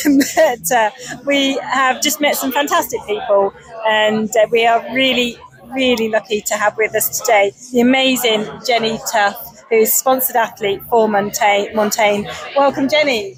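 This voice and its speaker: A woman's voice: a little high, steady tone, a little slow, low-quality recording. A woman co-hosting a podcast interview, enthusiastic and full of hesitant fillers. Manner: enthusiastic with many fillers and restarts. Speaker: podcast co-host. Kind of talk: casual interview chat. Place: festival marquee.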